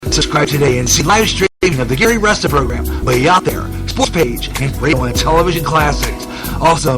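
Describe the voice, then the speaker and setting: A man hosting an internet radio show in a casual, folksy way, rambling and halting at times. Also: on the high side. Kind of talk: casual radio host talk and station promos.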